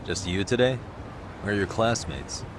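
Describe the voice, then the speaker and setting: Man playing a low-voiced, wry detective. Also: lively intonation, moderate pace, noisy background, natural voice. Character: cool, confident male private detective, dogged and sardonic. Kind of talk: video game cutscene acting.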